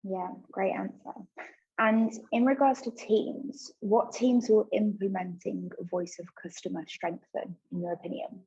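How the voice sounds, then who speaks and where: A woman's voice: medium pitch, fairly even intonation, measured pace, mellow, breathy edge, muffled recording. A woman moderating a conference session and putting audience questions to the speaker.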